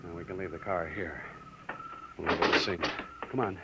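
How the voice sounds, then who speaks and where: A man's voice: low-pitched, steady tone, moderate pace, close to an everyday voice. An actor playing a resolute, secretive man who takes charge, speaking with urgency and assurance in a 1950s radio drama. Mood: calm, assured. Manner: commanding, urgent, reassuring. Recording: noisy, band-limited vintage 1950s radio recording.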